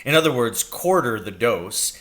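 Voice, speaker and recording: A man voicing an excitable, dreamy father in a storybook, speaking in fond exclamations. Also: mid-range voice, normal speed. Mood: eager, confident. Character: excitable, dreamy, affectionate father scheming at poaching.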